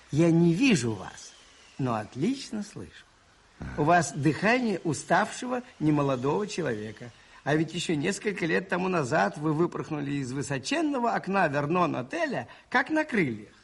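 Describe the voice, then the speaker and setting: Shrewd, husky, animated priest detective. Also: male voice, a little high, heavily acted. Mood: musing, teasing. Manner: musing, ironic, expressive and conversational. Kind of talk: Soviet radio play acting.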